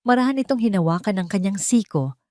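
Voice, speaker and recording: A woman's voice: mid-range voice, lively intonation, fast talker, dark tone, dry recording, clean studio sound. A woman narrating a dramatic scripted story as an audio drama storyteller.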